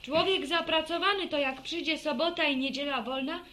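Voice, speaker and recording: An actress playing a lively, cocksure young village daughter in a radio serial, speaking with emphatic, explaining delivery. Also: female voice, sits high, fairly quick, slight background noise. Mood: eager reading. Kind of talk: Polish radio serial acting, rural family drama.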